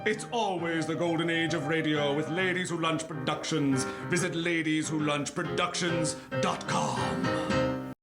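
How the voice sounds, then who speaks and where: A man's voice: a little high, animated, a little slow. A man playing a lurid horror promo announcer, melodramatic and punchy, in the style of an old-time radio trailer. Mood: lurid, dramatic. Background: loud guitar music.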